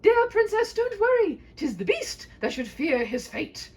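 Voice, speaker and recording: A woman's voice: very high-pitched, sing-song, unhurried, darkish tone, pitched above the performer's own voice, exaggerated voice. A woman voicing a gallant, smitten male knight in a comic fairy tale, pompous and declamatory. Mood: bold, emphatic.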